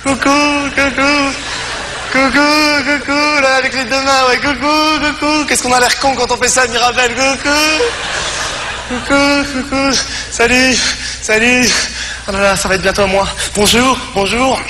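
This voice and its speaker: A man's voice: very high-pitched, steady tone, measured pace, voice pushed up. A man acting as a naive, polite Moroccan immigrant, hesitant, repetitive and eager to please. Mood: giddy. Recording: echoey hall, clear background noise.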